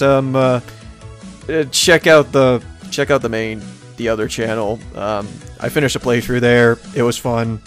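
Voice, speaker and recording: A man hosting a let's play, casually commenting and reading aloud in a playful, rambling way as he reacts to the game. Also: mid-range voice.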